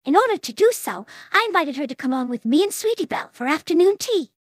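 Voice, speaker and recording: A woman's voice: high-pitched, sing-song, fast, no echo, voice pushed up. A woman voicing a refined, gracious young female pony from a cartoon fan story, with a polite, ladylike manner. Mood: teasing, reassuring.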